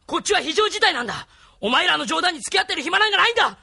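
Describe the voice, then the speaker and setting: An actor playing an anxious, hot-tempered young resistance fighter in a Japanese anime audio drama, exasperated and earnest. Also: male voice, very high-pitched, sing-song, very fast, vocal fry, bright, forceful tone, heavily acted. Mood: angry.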